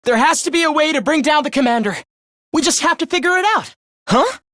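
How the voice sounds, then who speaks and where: Earnest anime game schoolboy leader, youngish high voice, super animated, really dry studio sound. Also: male voice, fairly quick, no room sound, heavily acted. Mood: alarmed, urgent. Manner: earnest, expressive, polite. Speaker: video game voice actor. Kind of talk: animated game voice lines, anime-style dub acting.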